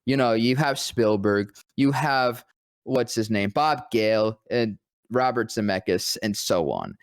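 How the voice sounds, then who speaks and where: A man's voice: fairly low pitch, animated, measured pace, dry recording. Enthusiastic man chatting on a podcast. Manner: enthusiastic fan talk with casual slang. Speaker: podcast co-host. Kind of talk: casual chat about films.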